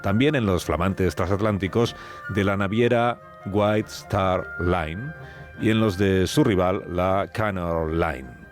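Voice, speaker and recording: A man narrating a scripted audio documentary, telling the story in a measured, dramatic way with pauses. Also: low-pitched, soft background music.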